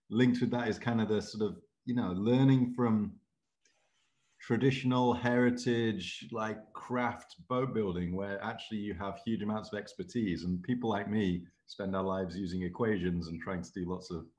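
A man's voice: fairly low pitch, normal speed. A man in his forties, a university lecturer in naval architecture, talking casually and self-deprecatingly on a video call from his office. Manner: self-deprecating, rambling, animated with hand gestures. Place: office with bookshelves, indoors. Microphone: laptop webcam video call.

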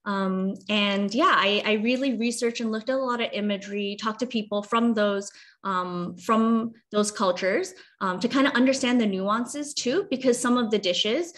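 A woman in her thirties from Hong Kong speaks at a medium pitch. She explains things conversationally, as an author on a video call panel. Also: normal speed, a bit bright. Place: video call from a room at home.

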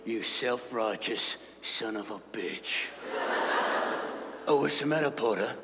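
A man acts out a villain posing as a grizzled old professor in a comic staged reading. He blusters and threatens behind a mock-friendly front. The sound is a big echoing hall with clear background noise. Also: mid-range voice, takes it slowly. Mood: taunting. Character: gruff villainous impostor posing as veteran auror professor. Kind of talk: live staged reading of comedy musical. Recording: noisy echoey hall with long reverb.

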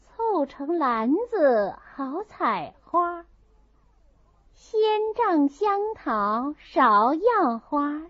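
A woman voicing a lively young maidservant who calls out drinking-game verses in a classic novel reading. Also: high-pitched, drawn-out words, no room sound, voice pushed up, heavily acted. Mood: bright, calling out. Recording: dull, muffled audio.

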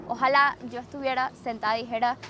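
A young Venezuelan woman with a bright, high voice chats in a bubbly way as an interview guest. She talks outdoors on a boat at a marina, picked up by the camera from a short way off. A faint wash of outdoor ambience sits under her voice. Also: fairly even intonation, fast, a little hiss or hum. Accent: Venezuelan Spanish. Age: young adult. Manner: bubbly, mixes English into Spanish. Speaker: singer-songwriter guest. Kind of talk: casual interview chat.

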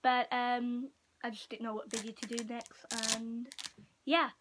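High-voiced teenage girl chatting casually. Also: little pitch movement, noisy background. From Portugal. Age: teenager, about 15. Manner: halting, frequent "like" and trailing off. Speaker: vlogger. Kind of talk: casual chatty show-and-tell to camera. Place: small room at home, indoors. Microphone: built-in device mic at arm's length or a bit further.

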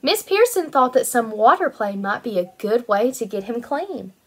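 High-voiced young woman reading to children. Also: normal speed, breathy edge. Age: 20s. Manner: animated, sing-song, addressing small children. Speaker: kindergarten teacher reading aloud. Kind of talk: picture-book read-aloud for young children.

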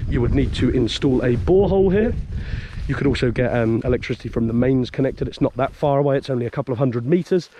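A man giving a casual walking tour outdoors as a vlogger, rambling and chatty, with restarts and soft chuckles. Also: medium pitch, quick pace. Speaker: vlogger showing a property for sale. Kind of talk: casual walk-and-talk tour. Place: outdoors, walking a farm and village streets. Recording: outdoor noise behind the voice.

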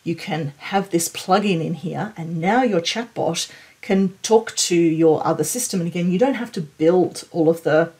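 A woman in her forties explaining a software demo in an informal, enthusiastic, conversational way. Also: on the low side, moderate pace, husky. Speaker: tech presenter.

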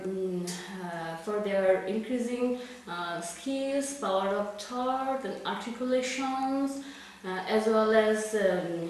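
A woman in her thirties from Bangladesh, a development project manager speaking slowly and haltingly to a camera, with many pauses and fillers. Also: on the high side, a little mellow, a little hiss or hum. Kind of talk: presentation to camera. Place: plain indoor room. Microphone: camera mic from a few feet away. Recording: echoey room, distant mic.

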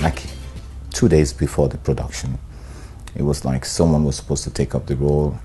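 A middle-aged Nigerian man, an actor, talking in a sit-down interview, relaxed and hesitant, with many "um"s and "you know"s. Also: extremely low pitch, breathy edge. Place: bright studio room, indoors. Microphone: lapel.

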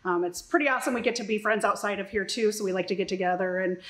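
A woman dog groomer introducing herself casually in a salon, chatty with small pauses. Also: mid-range voice.

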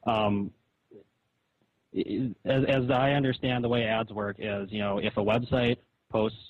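A man's voice: lowish voice, steady tone, measured pace, dry recording. A man on a tinny phone call, arguing his side in a hesitant, conciliatory, reasoning way. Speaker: caller. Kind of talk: phone argument, casual and conciliatory. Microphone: telephone. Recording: narrow, tinny phone line.

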